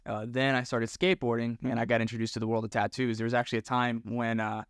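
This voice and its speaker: Fast-talking, smooth-voiced man. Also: medium pitch, no echo, clean studio sound. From Orlando, Florida, US. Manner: relaxed, slangy, talks with friendly bro energy. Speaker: podcast guest, tattoo shop owner. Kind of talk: casual storytelling chat.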